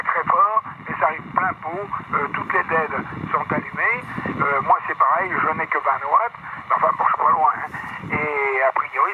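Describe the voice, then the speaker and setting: A man's voice: on the high side, narrow, tinny audio. Man over a thin, very noisy radio. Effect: two-way VHF radio transmission. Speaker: amateur radio operator. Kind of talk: casual ham radio chat.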